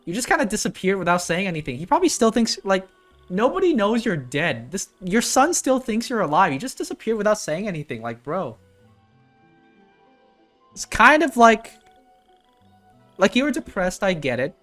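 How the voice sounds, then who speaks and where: Woman, lively intonation, informal reaction host, exclamatory, slangy, game music in background. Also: mid-range voice, normal speed, long pauses. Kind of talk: casual game reaction commentary.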